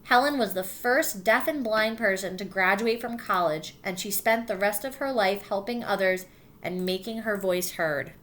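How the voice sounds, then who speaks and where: A woman teacher reads a picture book aloud to her class and stops often to explain what they are reading. Her voice is bright and clear, and she keeps checking that the children follow along. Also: medium pitch. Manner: warm encouraging teacher voice, pausing to explain.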